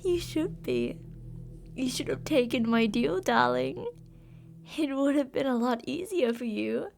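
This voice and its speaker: A woman's voice: high-pitched, sing-song, unhurried, vocal fry, a little mellow, faint music behind, exaggerated voice. A woman playing an obsessive, possessive yandere captor in an ASMR roleplay, intimate and doting with endearments yet menacing. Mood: taunting. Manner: intimate, cooing endearments, drops to whispers. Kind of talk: ASMR roleplay, scripted monologue.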